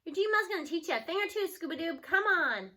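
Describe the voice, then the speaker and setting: A woman voicing a feisty, bossy, adventurous grandmother who teases her grandson with brisk, playful commands. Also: very high voice, expressive, pushed and bright, heavily acted. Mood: playful, knowing.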